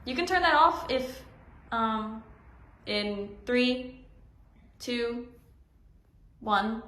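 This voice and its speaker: A woman's voice: fairly high pitch, expressive, extremely slow, pushed and bright. Coaxing young woman in echoey room. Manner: hesitant, coaxing, many ums. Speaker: paranormal investigator. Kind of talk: casual ghost hunt chat. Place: old house at night.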